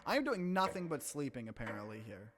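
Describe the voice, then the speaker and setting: A man doing a let's play, reading game text aloud and breaking in with animated, bewildered reactions. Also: mid-range voice, quick pace, noisy background.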